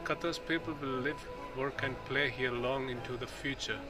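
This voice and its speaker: A man filming in an indoor exhibition hall, reading the display panels aloud slowly, pausing between phrases and restarting when he slips. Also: mid-range voice, fairly even intonation. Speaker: video host touring an exhibition. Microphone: handheld phone camera. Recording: loud music in the hall. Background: music playing.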